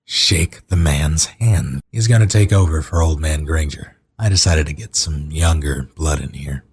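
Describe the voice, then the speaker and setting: Very deep, breezy husband. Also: male voice, unhurried, a little mellow, slightly rough, full character voice. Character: breezy, commanding wealthy husband, antiques shop owner. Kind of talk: horror audio drama dialogue.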